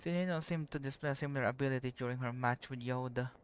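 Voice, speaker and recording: An actor voices a calm young companion in an anime-style game. The voice is smooth and clear, with gentle, measured phrasing and a thoughtful, considerate manner. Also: medium pitch, lightly acted.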